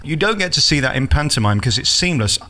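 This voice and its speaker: A man's voice: mid-range voice, big pitch swings, fast talker, bright, forceful tone. A man interviewing conversationally as a radio presenter, effusive and emphatic.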